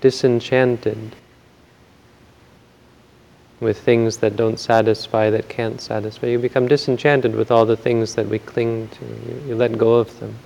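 A man giving a Buddhist Dhamma talk in a very quiet voice, gentle and hesitant, with frequent pauses and restarts. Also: mid-range voice, level tone, creaky, slight background noise, rough recording. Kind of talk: soft-spoken Dhamma talk.

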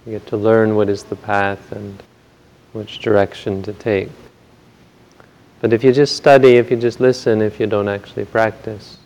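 A man giving a Buddhist Dhamma talk in a very quiet voice, gentle and hesitant, with frequent pauses and restarts. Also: on the low side, flat delivery, rough recording. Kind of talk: soft-spoken Dhamma talk.

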